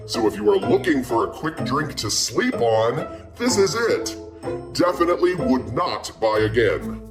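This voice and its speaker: A man playing a disappointed, unimpressed bar customer in a theatrical dramatic reading of an online review. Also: mid-range voice.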